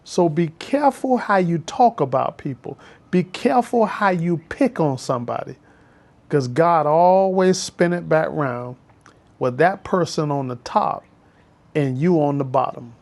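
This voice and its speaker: A man's voice: on the high side, animated, a little slow, gravelly, darkish tone. A man, telling his life story in a casual, preachy, emphatic vlog from his porch. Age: 50s. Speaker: vlogger and school bus driver trainer.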